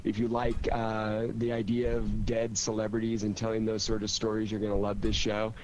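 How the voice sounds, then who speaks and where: Low voiced guy chatting keenly about his work, casual panel talk, some steady noise behind him. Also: normal speed, poor audio. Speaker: radio theater writer and director. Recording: clear steady background noise.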